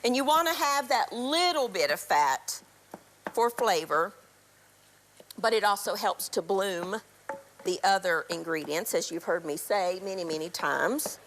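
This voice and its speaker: A middle-aged woman, raspy from a cold, chattily walking viewers through a recipe on a live TV cooking show. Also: medium pitch, animated, measured pace, fairly bright tone, slight background noise, poor audio. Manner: chatty instructional, throat clearing. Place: TV studio kitchen.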